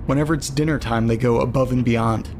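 Faint noise sits behind an uneasy, lonely man played by an actor in an audio drama. He reads eerie letters aloud as a monologue, in a middle-pitched voice. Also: fairly even intonation, quick pace, darkish tone, natural voice. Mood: bewildered, troubled. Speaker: narrator.

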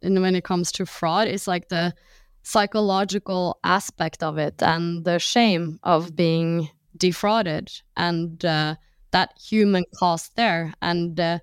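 A woman hosts a panel conversation in a clear, resonant voice. She sits a bit low in pitch and feels her way thoughtfully through each point. The sound is dry and close. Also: steady tone, slow, no echo. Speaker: podcast host.

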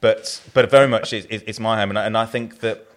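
Low-pitched southern english guy, brewer, rambling answers, lots of restarts. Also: steady tone, brisk. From southern England. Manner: rambling, many restarts and fillers. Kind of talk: interview.

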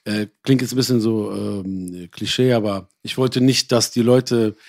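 A man's voice: on the low side, fairly even intonation, measured pace, darkish tone, no echo, clean studio sound. A man born in Iran who grew up in Germany, talking casually and personally as an interview guest, in slangy, colloquial language with digressions and self-corrections.

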